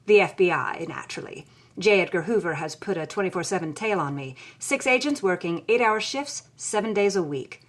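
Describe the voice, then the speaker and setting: A woman's voice: fairly low pitch, expressive, brisk. An actress playing a hard-boiled young woman who recounts her past in a wry, anguished audio drama narration. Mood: dramatic, wry.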